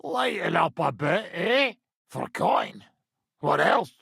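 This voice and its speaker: An actor playing a brash, foul-mouthed medieval mercenary commander in a video game, with a rough, raspy voice and a coarse, sardonic swagger. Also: male voice, high voice, sing-song, slow pace, a bit bright, no echo, clean studio sound, full character voice. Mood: mocking, joking. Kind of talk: video game character acting.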